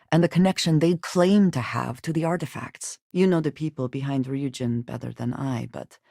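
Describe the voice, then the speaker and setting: Serious guarded space companion lady from a video game, very formal and measured, super dry close sound. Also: rumbling low, vocal fry, mellow, breathy edge, no room sound, full character voice. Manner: formal, measured phrasing with few contractions. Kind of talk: video game character voice lines.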